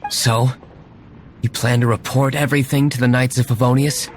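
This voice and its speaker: A man's voice: mid-range voice, fairly even intonation, moderate pace, slight background noise, lightly acted. A man voicing a stern, aloof young tavern owner in a video game, speaking in a curt, dry, formal way.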